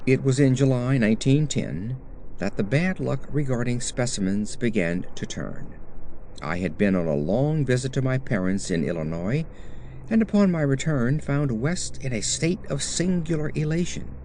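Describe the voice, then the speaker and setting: A man narrates a scripted horror tale in the first person. His voice is dark and mellow, at a medium pitch, and his delivery is measured and literary. Some noise sits behind the reading. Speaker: audiobook narrator.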